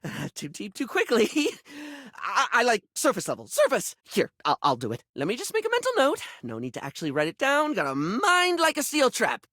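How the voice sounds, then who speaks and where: A man's voice: very high-pitched, wildly swooping pitch, normal speed, sharp tone, dry recording, studio quality, full character voice. A male actor playing a flamboyant, fussy beauty-product character in a video game, theatrical and effusive, anxious to please. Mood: flustered. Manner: theatrical, effusive, fretful, peppered with endearments.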